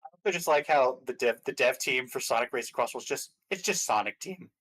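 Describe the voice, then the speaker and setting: A man's voice: medium pitch, expressive, a little fast, fairly bright tone. A man chatting casually and giving his opinions as a guest commentator on games. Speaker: guest commentator on game awards stream.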